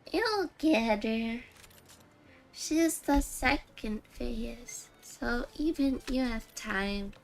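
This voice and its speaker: A woman's voice: on the high side, lively intonation, very slow, gravelly. A woman livestreaming a game, chatting casually and ramblingly with her viewers. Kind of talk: casual livestream chat while gaming.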